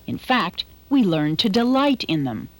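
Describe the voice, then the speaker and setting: A woman's voice: medium pitch, sing-song, no room sound. Earnest woman narrating a documentary. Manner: measured, earnest. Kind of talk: scripted narration. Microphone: studio voice-over.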